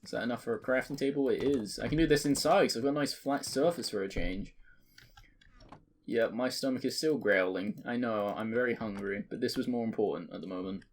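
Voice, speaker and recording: A man in his twenties giving rambling, joking commentary over a video game, his voice cracking and jumping between high and deep. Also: brisk, a little hiss or hum. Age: 20s. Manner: rambling, joking, self-mocking. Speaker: let's play creator. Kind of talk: casual gameplay commentary.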